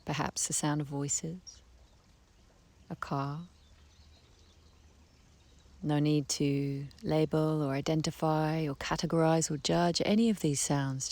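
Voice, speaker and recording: A woman leading a guided meditation in a soft, breathy voice, speaking gently with long unhurried pauses. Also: low-pitched, fairly even intonation. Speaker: guided relaxation teacher. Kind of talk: guided meditation, soothing slow instruction. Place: outdoors beside a harbour. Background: birdsong, trickling stream, breeze.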